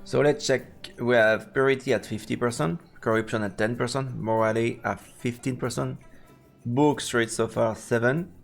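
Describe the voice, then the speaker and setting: A man hosting a live let's play, reading game text aloud haltingly and adding playful muttered commentary. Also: mid-range voice, fairly even intonation, gravelly. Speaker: streamer, let's play host. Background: game music.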